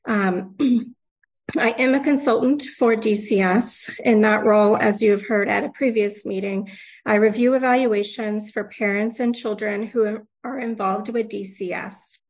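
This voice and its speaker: Woman, congested from a cold, husky tone; measured formal statement at a hearing. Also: medium pitch, steady tone, rough recording. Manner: formal, measured, clears throat. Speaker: complainant at a board hearing. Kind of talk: reading a prepared statement.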